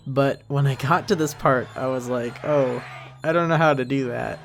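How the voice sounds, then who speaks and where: A man with a mid-pitched voice doing casual creator commentary, rambling and self-deprecating as he talks. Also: normal speed, gravelly. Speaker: series creator.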